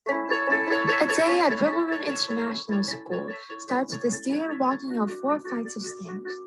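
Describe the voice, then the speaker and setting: A woman's voice: high voice, lively intonation, measured pace, poor audio. A woman narrating a school day-in-the-life video in an upbeat, scripted style. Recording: narration played over music.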